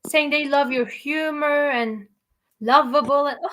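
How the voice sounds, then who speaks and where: A young woman with a Norwegian accent hosting a casual livestream interview, bubbly and encouraging, quick to laugh. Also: sits high, a bit bright. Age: young adult. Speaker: host.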